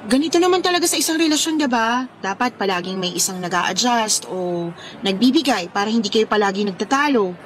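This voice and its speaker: A woman's voice: mid-range voice, slight background noise, natural voice, poor audio. A woman acting a young, heartbroken and yielding girlfriend in a Tagalog radio drama, with a plaintive, emotional delivery.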